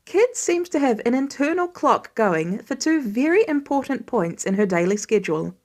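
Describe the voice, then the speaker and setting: A woman reading fan fiction aloud as an expressive audiobook-style narrator. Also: high-pitched, normal speed. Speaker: podfic reader.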